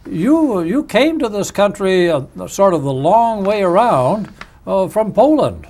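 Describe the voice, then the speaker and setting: Man hosting a local TV talk show, genial and welcoming, voice pitched high. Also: sing-song, unhurried, a little mellow. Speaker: host. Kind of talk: hosting a public access TV interview show.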